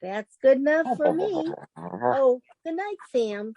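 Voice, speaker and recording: A woman's voice: high-pitched, sing-song, slow, gravelly, dark tone, dry recording, exaggerated voice. A woman playing an adoring, girlish detective's secretary in old-time radio comedy, with a sweet, swooning way of talking.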